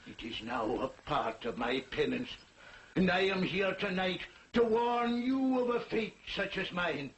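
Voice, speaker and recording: An actor playing the solemn, mournful ghost of a dead man in a slow, portentous 1940s television drama style. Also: high-pitched, expressive, slight background noise, low-quality recording. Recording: old 1940s TV soundtrack, music behind.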